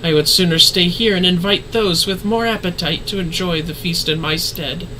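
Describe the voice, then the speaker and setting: A man's voice: sits high, normal speed, sharp tone, slight background noise, low-quality recording. A man voicing a refined, earnest woman leader in a fantasy game, speaking in formal, solemn, archaic phrasing. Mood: solemn, stirring.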